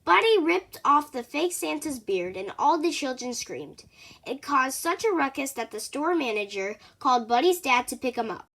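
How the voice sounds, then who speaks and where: A woman narrates a children's picture book aloud. Her voice is high, with animated rises and falls as she tells the story. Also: moderate pace. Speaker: storybook reader.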